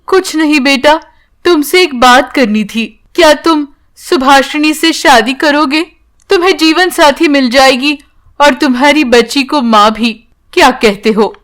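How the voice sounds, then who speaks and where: A woman's voice: sits high, unhurried, fairly bright tone, pitched above the performer's own voice. A woman voicing a weary, kind-hearted old grandmother with a pleading, emotional manner in a storybook tale. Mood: earnest.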